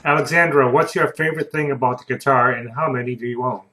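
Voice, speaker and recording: Casting: male interviewer, mid-pitched, scripted questions, light guitar music underneath. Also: steady tone, fast, poor audio.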